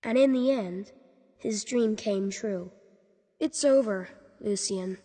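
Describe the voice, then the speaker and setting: A woman voicing a grave, measured storyteller in a dramatic fantasy game. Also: medium pitch, dark tone, husky, big-room sound.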